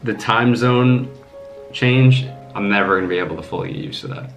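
A man's voice: fairly low pitch, normal speed. A man in his twenties from New York City with an American accent, chatting casually and bluntly, with some swearing. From New York City, USA. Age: 20s. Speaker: guest, host's boyfriend. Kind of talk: casual chat. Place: small home kitchen, indoors. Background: music with plucked guitar.

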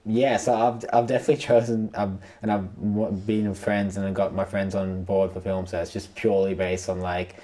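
A man's voice: low-pitched, level tone, normal speed, hardly any pauses, mellow. A young man in his twenties hosting a casual podcast, rambling with lots of fillers, on a close studio mic. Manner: rambling, full of "like" and "you know". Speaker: podcast host, filmmaker. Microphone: podcast mic on boom arm.

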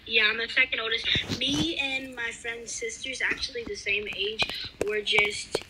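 Young girl chatting over loud game noise. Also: fairly high pitch, steady tone, measured pace. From California. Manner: rambling, restarts often, drops to whispers. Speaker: gaming channel co-host. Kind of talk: casual gameplay chat.

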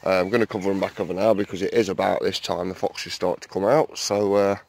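Man, low voice, casual gardening vlogger filming outdoors on a handheld phone, talks in loose rambling asides. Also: steady tone, fast, creaky, a little mellow. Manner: chatty, rambling, many "um"s and asides to viewers. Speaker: allotment vlogger. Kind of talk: casual walk-around vlog. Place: outdoors on an allotment and inside a greenhouse. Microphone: handheld phone camera, filming while walking.

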